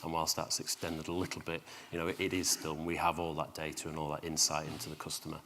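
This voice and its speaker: A man speaking as a panelist in a quick, matter-of-fact way. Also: extremely low pitch, completely flat delivery, fairly bright tone, long reverb tail, a little hiss or hum. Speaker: e-commerce director, panelist. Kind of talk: panel discussion.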